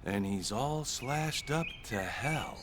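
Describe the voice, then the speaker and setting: A baffled male investigator played by an actor works a roadside scene in an audio drama. His voice is lowish and hard-edged, with slow, animated delivery. Faint outdoor birdsong surrounds him. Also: a little hiss or hum. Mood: grim, baffled. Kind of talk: naturalistic audio drama dialogue.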